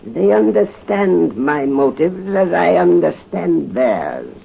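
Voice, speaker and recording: A man's voice: a little high, big pitch swings, slow pace, creaky, exaggerated voice. A muffled, noisy 1930s radio drama recording of a sadistic revolutionary commander. He is pompous and mocking, taking his time, with a widely swinging theatrical delivery. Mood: smug menace. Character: sadistic gloating male revolutionary butcher commanding soldiers. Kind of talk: 1930s radio horror acting.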